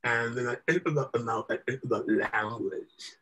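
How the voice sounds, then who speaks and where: Bright, husky-voiced hesitant interviewer. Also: male voice, medium pitch, normal speed. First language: Malayalam. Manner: hesitant, many fillers, thoughtful. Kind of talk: casual conversation.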